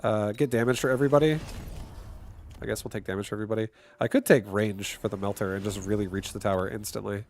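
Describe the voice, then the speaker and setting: A man with a stuffy nose giving casual live commentary while streaming a game, thinking out loud and now and then breaking into excited shouts. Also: fairly low pitch, quick pace, slight background noise.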